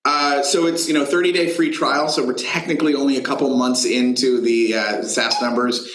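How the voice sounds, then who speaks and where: Male startup CEO, medium pitch, halting explanatory interview delivery with lots of fillers, audibly echoey room sound. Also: fairly even intonation, fairly bright tone. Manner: halting, filler-heavy, thinking aloud. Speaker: tech company founder and CEO being interviewed. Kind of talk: unscripted business interview answers.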